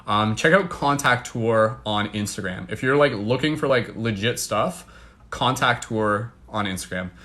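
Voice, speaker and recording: A young man with a Canadian accent, chatting casually and excitedly to livestream viewers, full of fillers. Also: fairly low pitch, measured pace. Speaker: livestream host.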